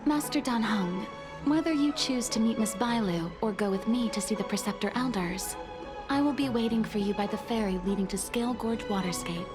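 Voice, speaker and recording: A woman acting as a dutiful maid with a fairly high voice. She speaks in formal, deferential, measured phrases, earnest on behalf of her young mistress. It is dramatic video game dialogue with clear music underneath. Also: close to an everyday voice. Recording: music behind the voice.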